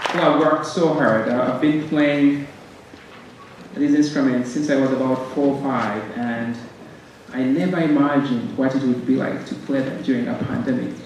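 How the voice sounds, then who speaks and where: A man's voice: mid-range voice, steady tone, moderate pace, a little mellow, lo-fi sound, a faint crowd. Echoey, distant Ugandan man addressing a hall. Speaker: college music ensemble director, host. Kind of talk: welcome speech to a concert audience. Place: concert stage in a hall. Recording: very echoey hall, distant mic, faint noise.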